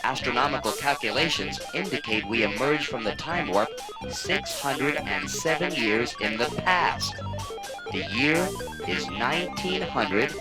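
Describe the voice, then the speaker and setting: A man's voice: medium pitch, lively intonation, a little slow, lightly acted, poor audio. A man voicing a spaceship's computer sidekick in an early 1980s children's record drama, reporting formally in clipped phrases. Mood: formal, informative. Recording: old vinyl record with loud music.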